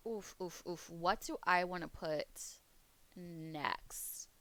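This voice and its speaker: A woman streamer chatting casually on a live webcam stream, rambling, laughing often, and going hoarse as she loses her voice. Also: on the low side, slow, bright, forceful tone, no echo. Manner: rambling, laughs often, reads chat aloud. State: losing her voice. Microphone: desk mic on a webcam stream.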